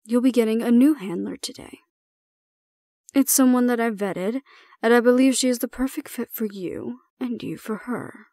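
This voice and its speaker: A woman playing a composed, stern female supervisor in an audio roleplay, speaking in a measured, formal and deliberate way. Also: fairly high pitch, warm tone, no echo, clean studio sound, natural voice. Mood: calm, formal. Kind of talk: scripted audio roleplay acting.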